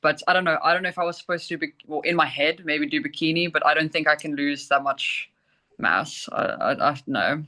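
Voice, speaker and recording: Bright young woman, chatty athlete. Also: low voice, a little fast. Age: young adult. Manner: chatty, peppers speech with "like". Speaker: junior bodybuilding athlete guest. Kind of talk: casual interview chat.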